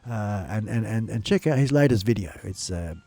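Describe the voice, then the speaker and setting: A man's voice: lowish voice, animated, moderate pace, gravelly, warm tone, dry recording. A man with a blocked nose, hosting a casual live stream in an upbeat, loose, repetitive way. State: blocked nose, a bit nasal. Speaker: livestream host. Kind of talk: rambling casual live chat. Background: faint music in the background.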